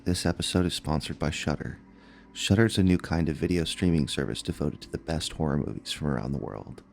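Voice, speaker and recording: A man reads a scripted sponsor spot for a podcast. His voice is extremely low with a gravelly creak. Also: fairly quick, soft background music. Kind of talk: scripted ad read.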